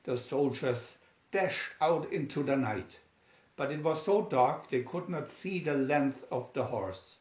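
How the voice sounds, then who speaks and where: Slow, theatrical male storybook narrator. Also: mid-range voice, darkish tone. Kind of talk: dramatic scripted narration. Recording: dull, roomy home recording.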